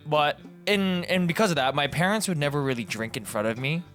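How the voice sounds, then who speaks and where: A man's voice: a little high, lively intonation, a little fast, fairly bright tone. The kind of Canadian guy heard on a laid-back commentary channel, wandering off on tangents over a bed of guitar music. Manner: informal, digressive, self-correcting. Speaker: video host. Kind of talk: casual rambling commentary.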